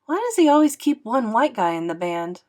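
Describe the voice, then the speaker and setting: A woman's voice: fairly high pitch, very animated, moderate pace, a little mellow. Woman doing a nosy little kid, a boy from a storybook, says what he thinks. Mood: curious. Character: curious, outspoken young boy, orphan narrator.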